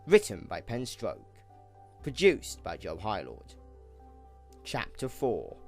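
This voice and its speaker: A man's voice: on the low side, wildly swooping pitch, slow pace, faint music behind. A man reading scripted narration for an audio drama.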